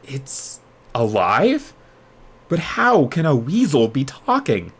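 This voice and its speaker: A man's voice: medium pitch, wildly swooping pitch, slow, noisy background, heavily acted. A man voicing a startled, puzzled schoolboy from a storybook, exclaiming in confusion. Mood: perplexed. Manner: exclaiming, perplexed.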